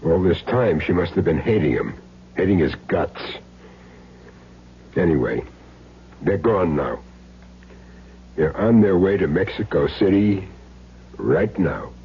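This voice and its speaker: An actor playing a cornered, soft-hearted hitman in a 1970s radio drama, intense and defensive. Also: sits low, animated, slow, long pauses, exaggerated voice. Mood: bitter, confident. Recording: dull, noisy old radio recording.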